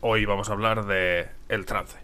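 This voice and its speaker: Low-voiced Spanish man, slightly congested. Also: flat delivery, measured pace, bright, forceful tone. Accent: Spain. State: mild head cold. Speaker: podcast co-host. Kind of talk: casual conversational podcast chat.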